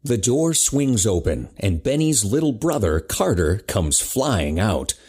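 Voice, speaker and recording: A man with a raspy voice reading scripted narration for an audio drama. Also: on the low side, very animated, takes it slowly, darkish tone.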